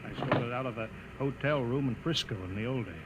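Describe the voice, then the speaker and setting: Male actor as reflective naval officer, measured delivery, medium pitch, vintage sci-fi radio play, noisy recording. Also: lightly acted. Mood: resigned, reflective. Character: reflective, idealistic naval officer aboard a doomed underground cruiser. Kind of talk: 1950s radio drama acting.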